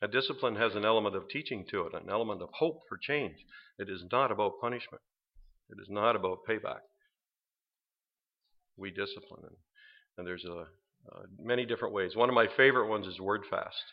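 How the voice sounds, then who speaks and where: An earnest, plain-spoken man addresses a church gathering, dropping in the occasional dry joke. His voice sits a little low. The recording sounds slightly dull. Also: fairly quick. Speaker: ministry program staff, discipline head. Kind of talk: church presentation talk.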